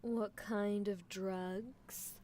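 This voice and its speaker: A woman's voice: medium pitch, fairly even intonation, drawn-out words, a little hiss or hum. A woman playing a possessive, coolly unethical female mad scientist in a live ASMR roleplay, coaxing the listener with theatrical delivery. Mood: teasing, sly. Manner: languid, theatrical, coaxing, with soft chuckles.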